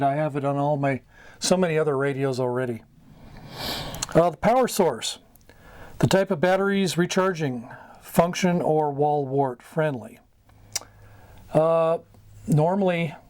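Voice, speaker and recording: A middle-aged American man giving an informal instructional talk, going item by item in short, halting phrases. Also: on the high side, a little mellow, no room sound. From United States. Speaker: amateur radio mentor, video host. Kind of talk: informal instructional explanation at a whiteboard. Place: small wood-panelled room indoors.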